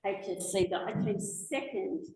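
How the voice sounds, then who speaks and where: A woman in her forties with an Australian accent, giving a chatty, lively public talk as a guest speaker, full of asides and restarts. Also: mid-range voice, moderate pace. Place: lecture room, indoors. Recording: echoey lecture room, faint noise.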